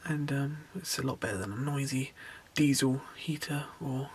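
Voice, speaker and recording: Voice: medium pitch, moderate pace, a little hiss or hum. A van-conversion vlogger chatting casually with many fillers and restarts, voice a little worn. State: losing voice. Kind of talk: casual vlog narration. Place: inside a small campervan.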